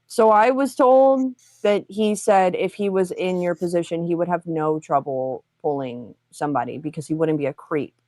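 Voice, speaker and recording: A woman's voice: on the low side, normal speed, hardly any pauses, dark tone, no echo. A woman on a livestream talk show, speaking bluntly and pressing hard questions, with frequent swearing.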